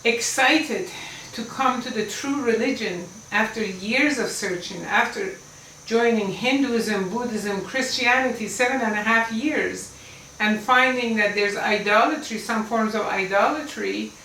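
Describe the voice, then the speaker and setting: A woman's voice: a little high, a little slow, pushed and bright, a little hiss or hum. A woman in her seventies whose first language is Farsi, earnestly telling her life story as an Islamic lecturer, with the odd small laugh. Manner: earnest, emphatic, with little laughs. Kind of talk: personal storytelling talk. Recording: roomy, distant mic with faint ambience.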